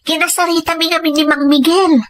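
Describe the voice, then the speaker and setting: A woman's voice: extremely high pitch, flat delivery, a little fast, a bit bright, no room sound, clean studio sound. A woman playing an eager, persuasive young female student in an Ilocano audio drama.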